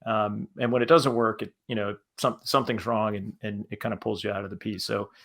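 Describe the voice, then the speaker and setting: A man's voice: fairly low pitch, steady tone, fairly quick, gravelly, a bit bright. A man talking casually on a panel as a podcast creator, hesitant and often repeating his words.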